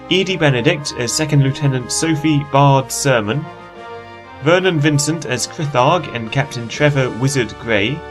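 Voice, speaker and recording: A man reading scripted credits as the announcer of an audio production. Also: medium pitch. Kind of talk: scripted narration. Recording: music behind the voice.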